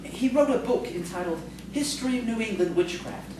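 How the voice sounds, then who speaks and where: A woman's voice: on the high side, animated, a little fast, natural voice. A woman playing a polite, eager, bookish male schoolteacher in audio theatre, with a hesitant, formal manner. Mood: eager, informative. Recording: distant, very echoey, noisy stage recording.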